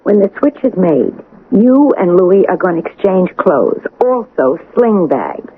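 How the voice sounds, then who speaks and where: A woman's voice: lowish voice, big pitch swings, unhurried, husky, phone-quality sound, heavily acted. A woman acting a cool-headed, scheming crook in a radio drama, controlling and conspiratorial, on an old broadcast recording. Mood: scheming, coaxing. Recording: band-limited old radio broadcast, some noise.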